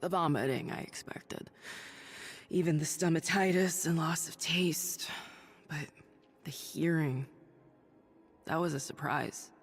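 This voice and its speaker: An actress playing an ailing, stubborn woman doctor turned hero in a video game drama, speaking in a halting, confiding but resolute way. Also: low voice, big-room sound, soft background music. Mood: tired, confiding. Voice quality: husky, breathy, creaky. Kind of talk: dramatic video game acting, audio log monologue.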